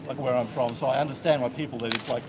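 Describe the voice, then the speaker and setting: A man's voice: mid-range voice, fairly even intonation. A young man, a university student chatting in a street conversation. He talks in a chatty way and uses "like" often. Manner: chatty, fast, uses "like" a lot. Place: busy outdoor street. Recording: outdoor crowd and traffic noise.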